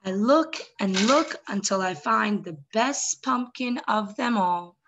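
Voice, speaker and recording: Young adult female story time host, medium pitch, expressive read-aloud delivery aimed at small children. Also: slow.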